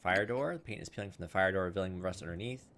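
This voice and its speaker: A man doing casual gameplay commentary, thinking aloud in an informal, self-deprecating way and reading game text out loud. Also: sits low, fast talker, a little hiss or hum. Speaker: gaming video host.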